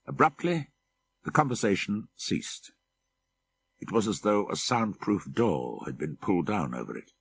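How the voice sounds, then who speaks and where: A man reading a horror story as an audiobook narrator, measured and deliberate, with dramatic emphasis. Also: lowish voice, animated, creaky, breathy edge.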